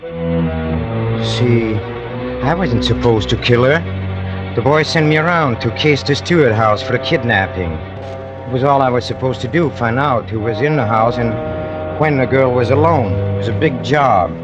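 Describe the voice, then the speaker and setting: An actor playing a bitter, cold-blooded hired killer who confesses in a brooding, halting way, in vintage radio drama style. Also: male voice, medium pitch, muffled recording, close to an everyday voice. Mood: cocky confession. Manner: brooding, halting, repeats himself with menace. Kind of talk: 1940s-50s radio drama acting. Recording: old radio broadcast with music underneath.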